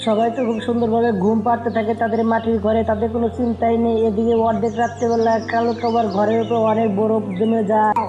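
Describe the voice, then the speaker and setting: A man's voice: very high-pitched, monotone, quick pace, few pauses, warm tone, highs cut off, soft background music. A man narrating a scripted children's cartoon story.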